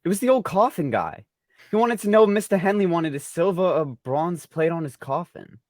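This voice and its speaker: A man's voice: high-pitched, normal speed, no room sound, clean studio sound. A man playing a mischievous, joking sound man in a 1940s-style radio play. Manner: joking, animated. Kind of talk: 1940s radio drama acting.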